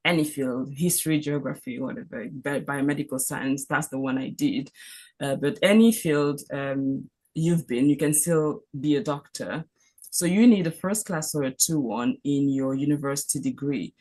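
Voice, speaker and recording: Low-voiced woman. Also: darkish tone. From Cameroon. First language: French. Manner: earnest, with frequent restarts and repeated words. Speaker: physician on a career panel. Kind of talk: career advice presentation.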